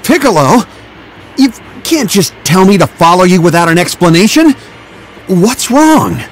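A man's voice: high-pitched, sing-song, unhurried, slight background noise. A man voicing a bewildered, earnest young fighter in an anime game cutscene. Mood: confused, concerned.

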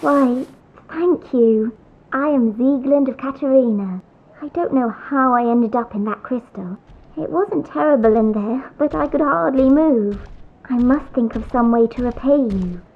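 A woman's voice: sits high, unhurried. A woman voicing a polite, earnest young female knight in armor in a video game, speaking formally. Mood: grateful. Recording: thin phone-like game audio with faint hiss.

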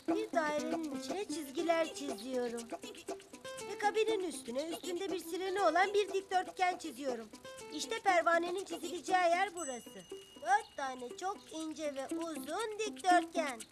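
A woman's voice: very high voice, lively intonation, normal speed, over music. A woman voicing a cheerful, helpful young animal in a children's cartoon dub, explaining things in a friendly, step-by-step way. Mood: patiently explaining.

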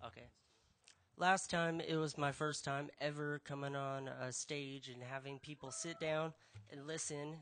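A man's voice: a little high, fairly bright tone. Shy young man at an open mic. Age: young adult. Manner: shy, halting, many fillers. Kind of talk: casual stage patter. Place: small coffeehouse stage, indoors. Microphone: stage mic, picked up by a room camera.

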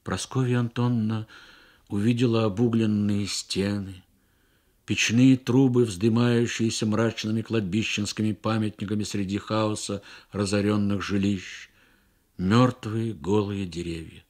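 A man narrating a literary story for radio in a measured, expressive manner with deliberate pauses. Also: lowish voice.

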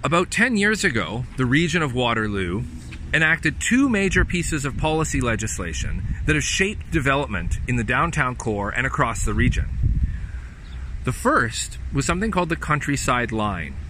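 A man, an urban planning professor, explaining city development in a measured way on an outdoor walking tour. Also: medium pitch, sing-song. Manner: measured, explanatory, with occasional restarts. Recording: outdoor street with traffic noise.

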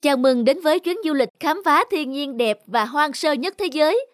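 A woman's voice: high voice, expressive, moderate pace, no echo. A woman voicing a polite, deferential male tour guide in a cartoon dub, with music underneath. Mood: welcoming. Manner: polite, formal presenting.

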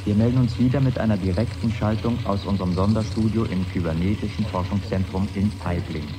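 An actor playing a formal, official broadcast presenter in a radio play, addressing listeners in orderly sentences. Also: male voice, fairly low pitch, brisk, background noise, natural voice.

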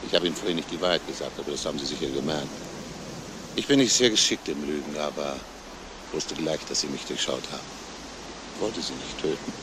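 An actor playing a despairing, self-justifying schoolteacher in a halting, brooding confession, in a crime radio play. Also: male voice, very deep voice, expressive. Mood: resigned. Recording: noisy old radio play recording.

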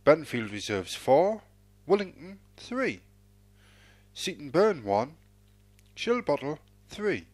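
A man reading out football results as an announcer, in a measured, formulaic sing-song cadence. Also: fairly high pitch, big pitch swings, darkish tone, dry recording.

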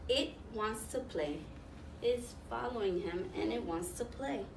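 A woman in her thirties reading a picture book aloud to young children, pausing to explain and ask them questions. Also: on the high side, gravelly. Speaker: volunteer children's story reader. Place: small classroom, indoors, seated on floor. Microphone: camera a few metres away. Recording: roomy, distant mic, noticeable background noise.

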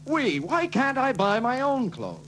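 A man's voice: very high-pitched, huge pitch swings, unhurried, fairly bright tone, exaggerated voice. An actor playing an emphatic, henpecked young car salesman in 1940s film style. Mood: exasperated. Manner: emphatic, exasperated. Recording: noisy 1940s film soundtrack.

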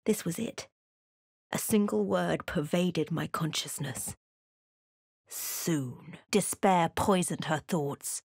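An actress playing a watchful, introspective female fantasy adventurer, narrating her journey in measured, literary game prose. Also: on the low side, creaky, a little mellow, breathy edge, no echo, clean studio sound, full character voice. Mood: tense, troubled. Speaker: video game character. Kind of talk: first-person past-tense scripted game narration.

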